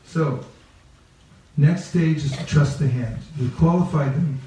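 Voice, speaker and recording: Echoey, mellow-voiced man teaching a group. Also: a little high, measured pace. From California. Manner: playful, asks the audience questions and repeats key phrases. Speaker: religious teacher leading a training talk. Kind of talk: interactive seminar teaching. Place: reverberant room with a live group. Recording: echoey room, picked up from a distance.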